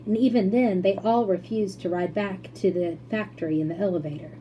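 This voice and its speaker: Very mellow woman reading a storybook. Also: mid-range voice, steady tone, fairly quick, muffled recording. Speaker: school principal reading aloud. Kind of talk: expressive children's storybook narration. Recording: faint background noise and hum.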